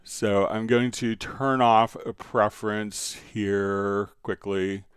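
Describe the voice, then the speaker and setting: A man's voice: on the low side, fairly even intonation, slow pace, smooth, dry recording, clean studio sound. A man hosting a casual tutorial livestream, explaining things step by step as he answers viewer questions. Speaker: livestream host, software instructor.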